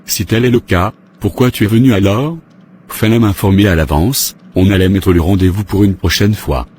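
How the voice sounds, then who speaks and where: Low-voiced man playing an insistent lover. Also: normal speed, a little mellow, slight background noise, natural voice. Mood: sulky. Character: impatient widowed male lover, insistent and sulky. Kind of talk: animated soap drama dialogue.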